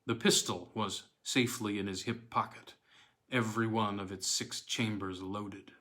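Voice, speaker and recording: A man reading a classic horror story aloud as audiobook narrator, in a measured, deliberate way with dramatic pauses. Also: on the low side, steady tone, fairly bright tone.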